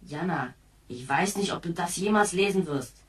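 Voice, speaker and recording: A woman playing a brash, sullen youngster in a radio play, talking in a gruff, slangy way. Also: on the low side, expressive, normal speed, lightly acted. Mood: worried, bitter. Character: brash, sullen youngster worried about grandfather. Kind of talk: German radio play acting. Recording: sounds distant from the mic.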